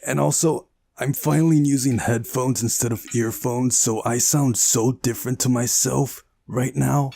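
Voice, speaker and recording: A man's voice: mid-range voice, fairly even intonation, normal speed, creaky, few pauses, dark tone. A man hosting a playthrough, reading a visual novel aloud and adding casual asides. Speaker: gaming channel host. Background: game music.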